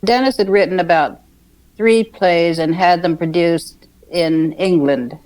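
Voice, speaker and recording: An older woman telling casual reminiscences in an unhurried, chuckling manner. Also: fairly low pitch, a little mellow, soft background music, poor audio. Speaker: radio writer's wife and co-plotter, interviewee.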